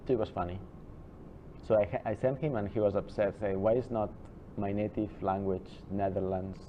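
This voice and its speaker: A man giving an informal classroom lecture, chatty and digressive, with many halting restarts and asides. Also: on the low side, steady tone, slight background noise. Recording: dull lo-fi classroom recording.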